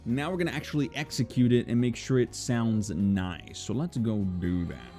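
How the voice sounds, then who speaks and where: A young man who creates videos, chatting casually in an informal, excitable and expressive way. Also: medium pitch, normal speed, faint music behind. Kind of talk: casual chatty vlog talk.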